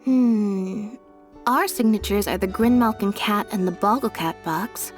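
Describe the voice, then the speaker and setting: An actress playing an affable, showy young stage magician in a video game, with a light, playful manner. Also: female voice, mid-range voice, unhurried, soft background music, natural voice.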